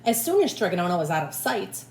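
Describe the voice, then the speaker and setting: A woman reading a storybook aloud like a teacher, with expressive, deliberate phrasing for children. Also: on the low side, vocal fry. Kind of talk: storybook read-aloud narration. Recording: echoey room, faint background noise.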